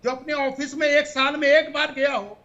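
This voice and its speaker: A man's voice: extremely high pitch, steady tone, normal speed, bright, forceful tone, lo-fi sound. A man in his fifties arguing combatively as a party spokesman in a heated TV panel debate. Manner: combative, talks over interruptions.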